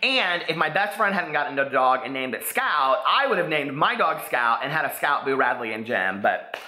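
Bright, forceful American man chatting excitedly. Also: medium pitch, moderate pace. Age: 40s. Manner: excitable, rambling, peppers talk with "like". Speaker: book vlogger. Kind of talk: casual chatty vlog to camera. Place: living room, indoors. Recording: echoey living room.

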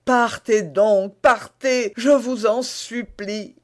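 A man's voice: steady tone, drawn-out words, exaggerated voice. A man reading aloud and voicing an anguished, dignified young queen who pleads in raised cries. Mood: pleading. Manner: pleading, rising to high emotional cries.

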